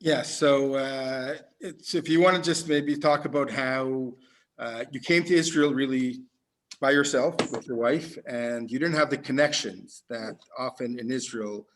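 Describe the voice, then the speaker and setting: Male interviewer, medium pitch, informal and tentative phrasing, video-call conversation. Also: unhurried, fairly bright tone, a little hiss or hum. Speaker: interviewer, moderator.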